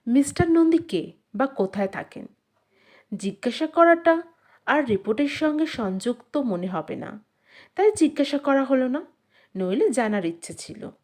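Slow, high-voiced woman narrating a story. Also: expressive, a little mellow. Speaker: audiobook narrator. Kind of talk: scripted dramatic story narration.

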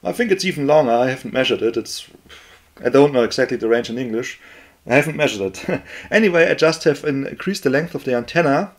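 A man's voice: medium pitch, animated, brisk. A man with a German accent, a native German speaker, giving a casual hobbyist demonstration. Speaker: amateur electronics hobbyist presenter.

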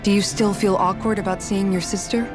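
A woman's voice: mid-range voice, steady tone, brisk, music in the background, close to an everyday voice. A woman playing an earnest, steadfast young warrior in a video game, speaking sincerely and firmly. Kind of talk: video game cutscene acting.

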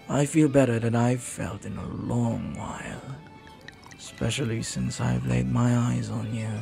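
A man playing a flirty, silver-tongued pirate in a romantic audio roleplay, tender and teasing, sometimes dropping to a breathy murmur. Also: mid-range voice, dark tone. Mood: flirty, sincere. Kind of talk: romantic audio roleplay acting, intimate second-person monologue.

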